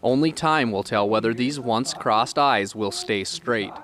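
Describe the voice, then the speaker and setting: A man's voice: medium pitch, unhurried, a bit bright. A man reading scripted narration for a local television news feature.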